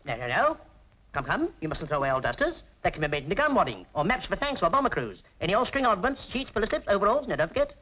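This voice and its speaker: A bossy, patriotic British busybody in a 1940s film. She hectors briskly and emphatically, her pitch swinging about freely. The old soundtrack is slightly noisy. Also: sing-song, fairly bright tone, lo-fi sound. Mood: bossy, scolding. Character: brisk bossy patriotic woman salvage campaigner, scolding nicely.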